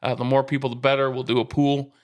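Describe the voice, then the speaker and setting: A man's voice: medium pitch, little pitch movement, quick pace. A man co-hosting a podcast in casual chat, easygoing and keen on sports. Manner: easygoing, talks sports with a fan's enthusiasm. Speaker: podcast co-host.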